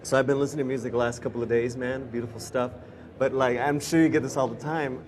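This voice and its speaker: An upbeat male host runs a casual festival interview and keeps the talk moving with quick, friendly questions. His pitch is in the ordinary middle range. A faint hum of noise sits underneath. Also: fairly even intonation, a little mellow.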